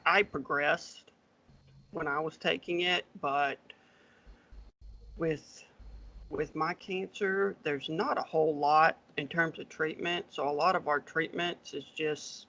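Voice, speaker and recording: Gravelly-voiced man, relaxed and hesitant. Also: fairly high pitch, rough recording. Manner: relaxed, hesitant with many fillers. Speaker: accountant guest. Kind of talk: casual podcast interview.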